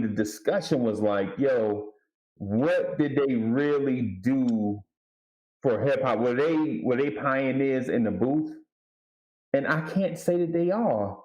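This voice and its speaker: A man's voice: mid-range voice, slow pace, darkish tone, highs cut off. A middle-aged American man chatting casually with friends as a panelist on a music show. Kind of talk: casual chat among friends.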